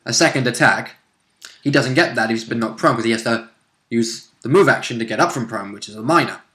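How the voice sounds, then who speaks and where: A man with a bright, clear voice explains game rules at length, step by step. The room around him is echoey. He speaks casually, like a player chatting after a session. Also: mid-range voice, quick pace. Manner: explains rules in long, detailed runs.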